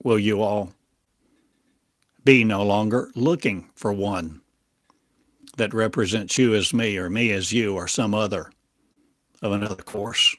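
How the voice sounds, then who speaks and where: A man delivering a channeled prophetic monologue in halting, fragmented, oracular phrases full of coined words. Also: lowish voice, fairly bright tone, dry recording, clean studio sound.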